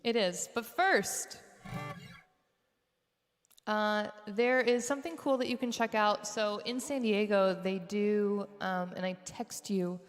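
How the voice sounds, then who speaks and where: A woman hosting a music livestream from home, chatting casually between songs with a fairly low voice and plenty of fillers. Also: slow. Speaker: singer and livestream host. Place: home studio set. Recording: echoey room with long reverb.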